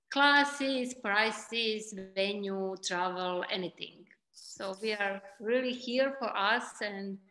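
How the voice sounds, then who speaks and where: A woman speaks slowly and gently in halting English, encouraging her listeners. Her voice sits a little high. The video call audio carries a roomy echo. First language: Croatian. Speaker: class organizer and translator.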